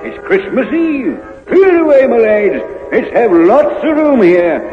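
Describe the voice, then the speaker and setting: A merry old master in a radio play, exclaiming in a high, swooping voice. The recording is tinny and echoing. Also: male voice, big pitch swings, unhurried, faint music behind, exaggerated voice. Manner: exclamatory, jovial. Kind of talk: 1950s radio drama acting.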